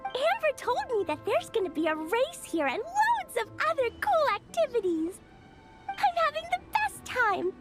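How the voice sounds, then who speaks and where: A woman voicing an excitable, sweet little girl who gushes breathlessly. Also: extremely high pitch, moderate pace, exaggerated voice. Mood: excited. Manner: breathless, gushing. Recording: game audio with faint music.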